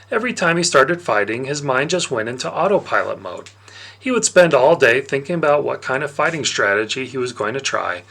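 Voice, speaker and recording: A man narrating a story aloud in an expressive, animated storytelling manner. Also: a little high. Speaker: reader of an audiobook.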